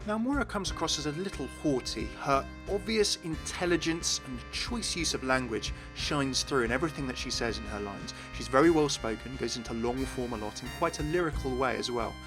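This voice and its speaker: A man's voice: medium pitch, lively intonation, fairly quick, few pauses. A man hosting a gaming video, narrating in an enthusiastic explainer style. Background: music.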